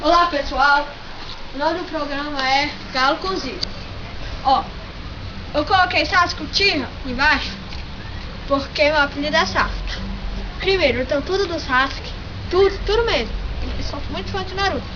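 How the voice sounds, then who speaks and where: A woman with a Brazilian Portuguese accent hosting a playful homemade vlog, joking, swearing and breaking into shouts. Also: high-pitched, normal speed, pauses often, noisy background. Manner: excitable, jokey, swears and bursts into shouting. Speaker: vlog host. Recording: dull lo-fi home recording with music.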